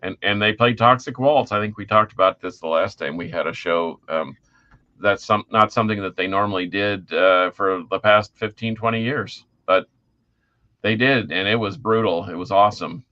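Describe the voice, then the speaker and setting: A middle-aged man with a lowish, forceful voice talks music as a podcast co-host. He is enthusiastic and slangy, in casual conversation. The audio is a little dull. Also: moderate pace.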